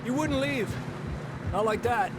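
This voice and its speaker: A man playing a loyal, earnest outlaw rider in a Western game, speaking in a measured way. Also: extremely high pitch, lively intonation, heavy background noise. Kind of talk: dramatic Western video game acting.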